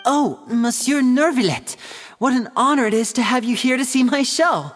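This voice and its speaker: A woman's voice: high-pitched, moderate pace. A woman voicing a polished, charming young male stage magician in a video game, polite and theatrical like a showman. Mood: gracious. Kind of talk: video game character acting.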